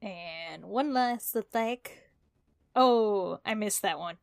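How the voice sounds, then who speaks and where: A woman's voice: medium pitch, slow pace, gravelly, no room sound, clean studio sound. A woman playing a tabletop game, casually describing her moves.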